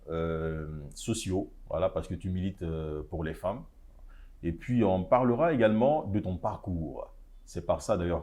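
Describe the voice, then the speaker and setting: A man hosts an interview on a studio set. He speaks in a very low, dark, mellow voice with an easygoing, relaxed manner. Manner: easygoing, with frequent backchannel murmurs. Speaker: talk show host.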